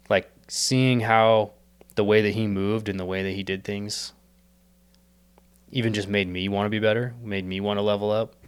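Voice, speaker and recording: A young man talks earnestly in a low, smooth voice, wandering through his thoughts with fillers and restarts. His voice is a little worn, as if he is losing it. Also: a bit bright. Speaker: podcast guest, startup co-founder. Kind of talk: casual reflective interview talk.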